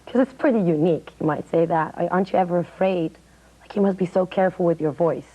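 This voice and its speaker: A woman's voice: on the low side, fast talker, muffled recording. A woman interviewer chatting casually, asking questions in an informal, agreeable, chatty way.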